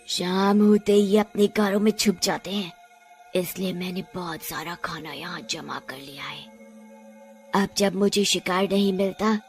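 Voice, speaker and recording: A cruel man-eating witch, acted out by a woman in a storybook tale. She taunts and threatens with drawn-out, menacing words and a cackling edge, over background music. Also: medium pitch, warm tone, husky. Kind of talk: dramatic children's moral story acting.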